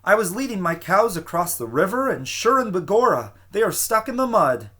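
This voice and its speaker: A man voicing a tiny bearded fairy man with pointed ears, pleading for help in a high, urgent voice. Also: sing-song, normal speed, a bit bright. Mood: frantic pleading.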